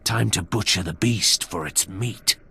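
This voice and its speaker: A cold Sith lord from a video game. The voice is husky and heavy with gravel, and he hands down each sentence as an imperious, deliberate pronouncement, full of threat. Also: low-pitched, pushed and bright, full character voice. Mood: menacing. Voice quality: raspy, gravelly. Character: cold menacing male Sith lord, a ruthless military commander.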